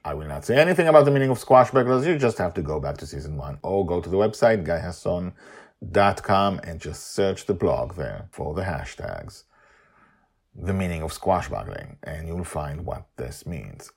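A man hosting a story podcast, narrating in an animated, theatrical and emphatic way. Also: sits low, normal speed, creaky, breathy edge.

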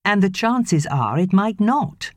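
A woman's voice: mid-range voice, big pitch swings, slow pace, dry recording, voice pushed up. A woman voicing an earnest, loving husband and father in an audiobook, pleading and persuading emphatically. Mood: controlled anger.

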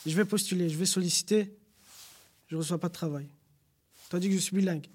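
A man's voice: sits high, steady tone, quick pace, darkish tone, breathy edge. A young man giving an earnest, indignant personal account in a panel discussion, with many fillers. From Belgium. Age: young adult. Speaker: panel guest.

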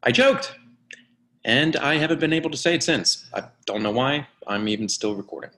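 A man's voice: medium pitch, animated, bright, forceful tone. An actor playing an awkward, lovesick man in his twenties in a play reading, speaking hesitantly and running himself down. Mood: frustrated. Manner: hesitant, halting. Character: lovesick awkward 24-year-old man, self-deprecating. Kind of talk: play reading, confessional monologue.

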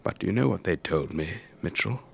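An actor playing an elderly English retired air force officer, telling his story in a measured, wistful, old-fashioned way for a radio drama. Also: low-pitched, expressive, full character voice. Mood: wry, nostalgic. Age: older. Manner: measured, old-fashioned, reflective, breathy trailing phrases. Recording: faint background noise.

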